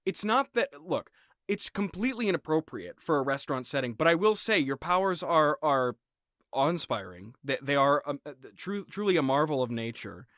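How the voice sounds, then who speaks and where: Awkward manager played by a man for comedy sketch: slightly high voice, stammers and backtracks, dry close recording. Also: big pitch swings, normal speed, a bit bright, no room sound. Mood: flattering, nervous. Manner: stammering, hedging, repeats himself. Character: flustered, awkward male restaurant boss, easily swayed. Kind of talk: improvised comedy sketch acting.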